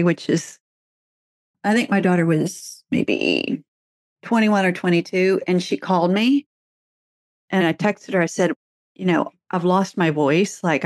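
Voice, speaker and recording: A woman's voice: medium pitch, no echo, studio quality. A middle-aged woman, a therapist and author, chatting casually and telling stories with easy laughs. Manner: chatty storyteller, laughs easily. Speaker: podcast host, therapist and author. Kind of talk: casual conversation.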